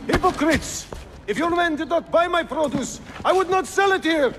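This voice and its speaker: An actress playing an indignant, defiant poor market seller in a loud, protesting manner for a dramatic game cutscene. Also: female voice, extremely high pitch, lively intonation, normal speed. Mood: outraged shouting. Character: outraged poor woman street merchant, defiant.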